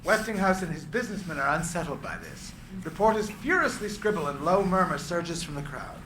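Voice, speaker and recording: A man reading screenplay stage directions as narrator, with deliberate descriptive phrasing. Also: high-pitched, animated, pushed and bright. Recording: echoey room, clear background noise.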